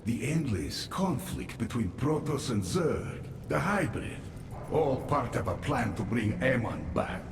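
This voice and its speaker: A man playing a grave, earnest ally in a science-fiction game, with dramatic, deliberate phrasing. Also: mid-range voice, expressive, creaky. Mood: grave pondering. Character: grave male ally, urgent and thoughtful, sci-fi game. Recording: echoey, distant, loud noise behind.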